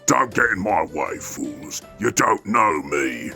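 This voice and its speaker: A performer voicing a brutish, blustering villain hunter in a comic fan dub, gravelly and threatening. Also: low voice, very animated, slow, heavily acted. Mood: menacing. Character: brutish villain hunter, menacing, blustering male beast rider. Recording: loud music behind the voice.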